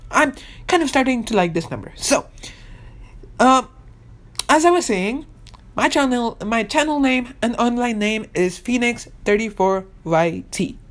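Young woman of eighteen, podcast host, chatty and rambling, lots of ums and restarts, earnest, voice a little on the high side. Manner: rambling, many fillers and restarts; earnest, keeps insisting she means it. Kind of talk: casual solo podcast chat.

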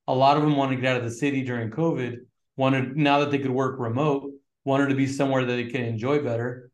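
A middle-aged man from Northern California, a real estate agent chatting casually as an interview guest with relaxed fillers. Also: medium pitch, echoey room. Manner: relaxed, fillers, flat steady delivery.

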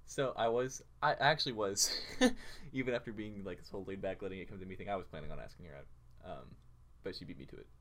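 A young man with a somewhat low voice co-hosts a casual podcast. He speaks in an informal, earnest way, often working to explain himself. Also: a bit bright. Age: young adult. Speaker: podcast co-host. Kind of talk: casual chat.

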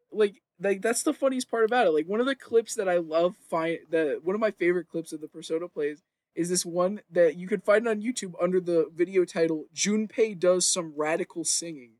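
A man's voice: sits high, moderate pace, darkish tone, no echo. A man chatting casually and enthusiastically about games, with loose, informal phrasing. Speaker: podcast co-host.

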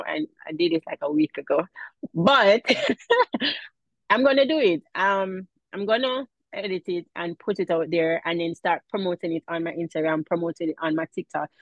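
A woman with a Jamaican accent talks as an interview guest, animated and quick to laugh, repeating phrases as she goes. Her voice sits mid-pitch, dry and close. The audio is dull and lo-fi. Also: no echo. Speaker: guest, mom influencer. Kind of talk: casual interview chat.

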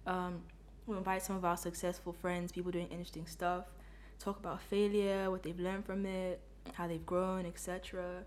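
A woman's voice: on the low side, moderate pace, hardly any pauses, a little hiss or hum. Chatty young woman co-host. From Ghana. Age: young adult. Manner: relaxed, chatty, laughs often. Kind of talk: casual chat.

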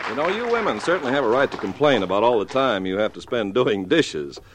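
A man's voice: medium pitch, very animated, fast talker, over a noisy crowd, very noisy. A man announcing and reading advertising copy in scripted 1950s radio style. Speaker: commercial announcer. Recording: 1950s radio broadcast with music.

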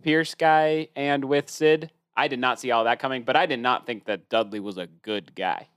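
A man's voice: mid-range voice, moderate pace, fairly bright tone, clear, resonant tone, no room sound, studio quality. A man co-hosting a casual podcast, rambling through his opinions with many restarts. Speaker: podcast co-host. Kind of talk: casual chat.

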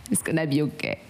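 Low-voiced French woman, slow and halting. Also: background noise. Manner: halting, searching for English words, frequent fillers. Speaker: meditation channel host. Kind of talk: casual guided meditation chat.

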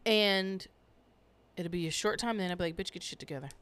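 A woman's voice: lowish voice, brisk, a bit bright, smooth. A woman co-hosting a podcast in casual chat, frank and teasing, and she swears freely. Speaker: podcast co-host.

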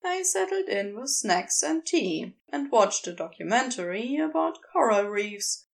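A woman's voice: mid-range voice, creaky. A woman narrating scripted fiction as a storyteller.

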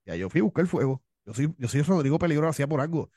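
A man's voice: fairly high pitch, expressive, racing, warm tone, dry recording, studio quality. A man with a Cuban accent, a wrestler telling his story in an interview, boastful and tough, often repeating himself. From Cuba. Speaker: pro wrestler guest.

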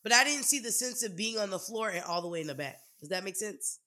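Bright, blunt podcast co-host. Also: mid-range voice, expressive, fast. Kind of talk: casual chat.

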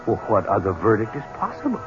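An actor playing a young suitor in a 1970s radio mystery drama, polite and earnest, quick to defend himself. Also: male voice, lowish voice, huge pitch swings. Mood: shocked. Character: smooth young male suitor, defensive and indignant when challenged. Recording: dull 1970s radio recording with music.

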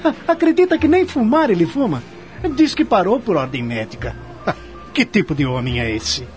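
Man playing a cocky, animated young manager. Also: sits high, normal speed, faint music behind. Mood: irritated, scornful. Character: cocky, envious young male manager of twenty-seven. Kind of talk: radio soap opera acting.

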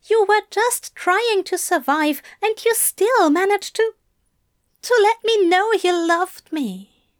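A tender, teasing male demon, voiced by a woman in a storybook reading. The voice sits high and swings widely in pitch, unhurried and coaxing. The sound is close and dry. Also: lively intonation, no echo, full character voice. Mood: tender reassurance. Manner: soft, coaxing, earnest. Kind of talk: audiobook fan fiction reading.

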